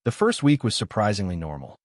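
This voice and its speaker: A man narrating a true horror story in the first person, with halting, suspenseful delivery in short broken phrases. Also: on the low side, huge pitch swings, dry recording, clean studio sound.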